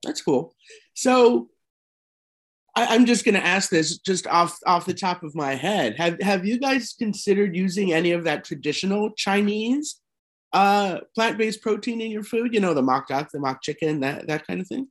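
A man with a voice a little higher than most men's, leading a relaxed conversational interview. He asks curious follow-up questions of his guest. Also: normal speed.

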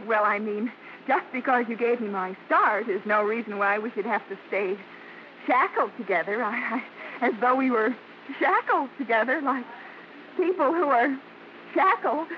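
A woman's voice: on the high side, normal speed, natural voice. An American woman playing a sincere, modest young vaudeville performer with spirit, in old radio drama style. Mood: earnest, hesitant. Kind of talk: 1940s-50s American radio drama acting. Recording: muffled old radio broadcast, faint noise.

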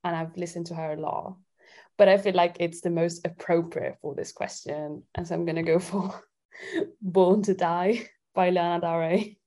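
A woman's voice: fairly low pitch, steady tone, normal speed, dark tone. A woman from Italy chatting casually as a guest singer-songwriter in an interview.

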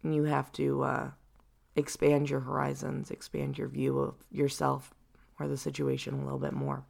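A young adult woman with a deep voice, hosting a personal reflective podcast in a casual, rambling way with lots of fillers. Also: completely flat delivery, moderate pace, creaky, a little mellow. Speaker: podcast host.

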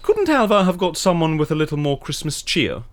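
An actor playing an eager, polite and naively festive interviewer in an audio drama, chatty and theatrical. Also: male voice, on the high side, sing-song, a little fast. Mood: curious. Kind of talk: scripted audio drama acting.

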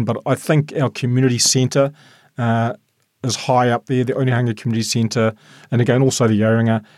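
A middle-aged man with a New Zealand accent, a local board member giving earnest, halting answers in a podcast interview. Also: mid-range voice, a little mellow, dry recording, studio quality.

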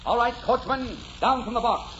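A man playing a dashing, bold, flirtatious highwayman in suave, theatrical 1940s radio style. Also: moderate pace. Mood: bold, commanding. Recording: dull, noisy 1940s radio recording, music behind.

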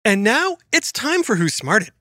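A woman's voice: fairly high pitch, very animated, unhurried, bright, forceful tone, dry recording. A woman hosting a children's podcast, reading a scripted announcement in an upbeat, emphatic way, recorded dry in a studio. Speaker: podcast host.

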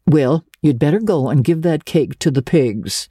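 A woman doing a stern older storybook spinster who meets every fuss with curt, dry remarks. Her voice is low and dark, recorded close and dry. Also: a little slow, breathy edge, no echo.

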